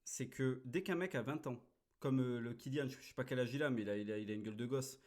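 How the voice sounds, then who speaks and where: A man's voice: medium pitch, fast, a little mellow. A man in his thirties hosting a casual reaction chat, blunt and crude, brushing off his critics. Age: 30s. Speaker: host reacting to a video.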